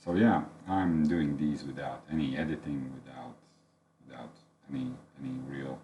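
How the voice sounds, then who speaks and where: A man's voice: a bass voice, fairly even intonation, normal speed, dark tone, slight background noise. A man whose first language is Latvian gives a thoughtful, unedited spoken book review, searching for words as he goes.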